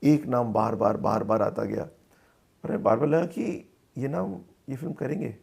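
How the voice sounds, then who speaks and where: A man giving conversational interview answers about his work as a filmmaker, speaking in a halting, thoughtful way and mixing in English phrases. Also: mid-range voice, animated, vocal fry, darkish tone, slightly rough. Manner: halting, thoughtful, with repeated words and pauses; mixes English phrases into Hindi.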